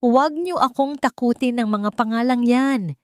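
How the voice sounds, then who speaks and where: A woman's voice: on the high side, moderate pace, a little mellow, dry recording, clean studio sound, voice pushed up, natural voice. A woman voicing a menacing rich young wife who taunts and commands in an audio drama story. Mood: defiant, shouting.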